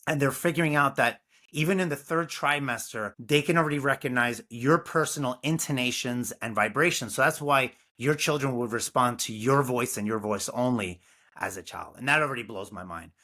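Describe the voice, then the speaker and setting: A man talks with earnest, animated energy as a podcast guest. His voice has a bright, forward edge as he explains his ideas. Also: medium pitch, moderate pace. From Brooklyn, New York. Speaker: music curriculum founder, guest. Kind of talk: casual interview talk.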